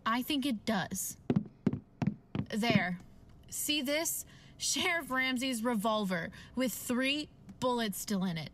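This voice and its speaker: An actress playing a defiant, frightened woman doctor in an old-time radio drama, speaking deliberately and dramatically. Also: fairly high pitch, animated, a bit bright. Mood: defiant, tense. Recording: very noisy, with clicks.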